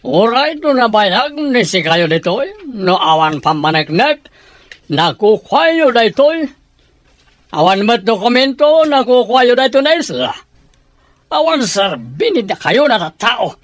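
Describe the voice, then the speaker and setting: An actor playing confrontational island figures, a domineering landowner among them, in a theatrical radio drama style. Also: male voice, extremely high pitch, very animated, takes it slowly, a bit bright, no echo, full character voice. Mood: dismissive.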